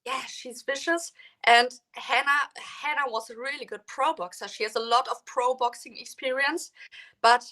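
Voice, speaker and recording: Bright, high-voiced woman. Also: fairly even intonation, moderate pace. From Poland, grew up in Germany. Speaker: interview guest, professional fighter. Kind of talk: casual chat.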